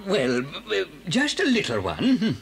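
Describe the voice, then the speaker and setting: A man's voice: high-pitched, wildly swooping pitch, slow pace, heavily acted. An actor voicing a sly, genial father fox in a children's audio drama, on an old cassette. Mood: indulgent. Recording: noisy old cassette tape.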